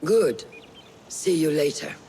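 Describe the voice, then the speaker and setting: An actor playing a stern, patient combat master in a video game, speaking in a measured, instructive way. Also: male voice, a little high, expressive, warm tone, slight background noise. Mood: firm, patient. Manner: measured, didactic. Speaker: combat trainer. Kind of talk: video game cutscene acting.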